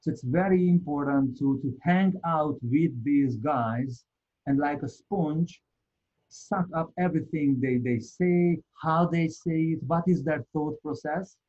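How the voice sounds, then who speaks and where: A man with a Hungarian accent presenting a live webinar, talking earnestly and haltingly with many repeated words. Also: a little high, darkish tone, dull audio.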